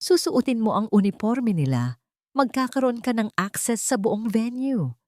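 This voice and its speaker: A woman's voice: a little high, animated, normal speed, mellow, no echo, studio quality, voice pushed up, close to an everyday voice. Woman playing an earnest, loyal elderly housekeeper. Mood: conspiring. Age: older. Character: loyal elderly housekeeper, grieving, fiercely resolute.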